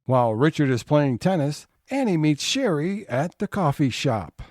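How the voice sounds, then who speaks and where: A man narrating a scripted audio comedy episode as its announcer, in a measured storytelling manner. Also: fairly high pitch, sing-song, a little mellow. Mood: presenting.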